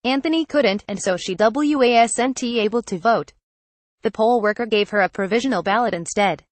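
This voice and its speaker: A woman's voice: fairly high pitch, normal speed, no echo. Woman narrating a news feature, dry. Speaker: narrator reading a magazine article.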